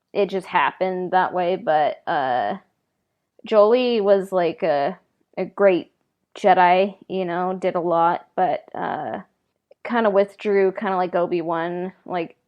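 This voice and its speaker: A woman in a casual podcast discussion, speaking informally in loose, conversational phrases. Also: on the low side. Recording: slightly dull, lo-fi microphone sound.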